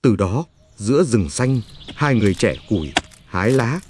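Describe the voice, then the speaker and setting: A man reading a scripted legend as a storyteller, in a solemn, measured manner. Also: on the low side, very animated, noisy background. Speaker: narrator.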